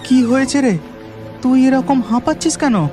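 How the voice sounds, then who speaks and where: A man's voice: very high-pitched, moderate pace, over music. A man voicing a simple, friendly village farmer in a cartoon, talking in a familiar way. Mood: worried.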